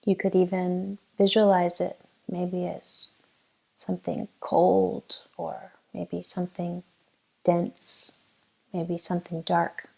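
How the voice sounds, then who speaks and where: A woman leading a guided meditation as a teacher, giving gentle, unhurried instructions with long pauses. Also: fairly low pitch, monotone, vocal fry, husky, rough recording.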